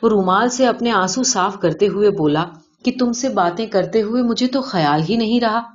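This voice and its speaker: Woman narrating a story, muffled lo-fi recording. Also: medium pitch. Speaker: audiobook reader of a short story. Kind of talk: scripted literary story narration. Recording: dull, lo-fi sound.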